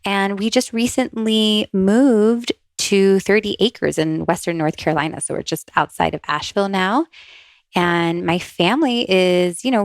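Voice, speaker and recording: A woman's voice: fairly low pitch, creaky, no echo, studio quality. A woman hosting her own podcast in a chatty, upbeat way, often laughing between thoughts. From USA, New Jersey born, raised in Miami. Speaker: podcast host and intuitive reader. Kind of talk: casual solo podcast chat.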